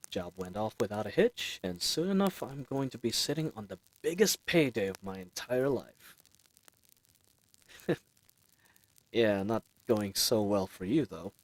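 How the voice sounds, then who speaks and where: Man playing a charming, lively bandit leader. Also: medium pitch, no echo, low-quality recording. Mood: cheerful, reassuring. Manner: casual, chatty, playfully teasing. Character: charismatic, friendly male bandit leader, teasing and roguish. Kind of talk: fantasy audio roleplay acting, speaking intimately to listener.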